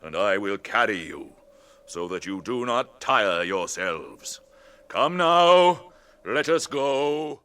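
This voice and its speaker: An actor playing an ancient, kindly tree-giant in a British radio drama, ponderous and musing, with old-fashioned phrasing and a temper that can be stirred. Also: male voice, on the low side, expressive, takes it slowly, bright, forceful tone, full character voice. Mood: kindly, unhurried.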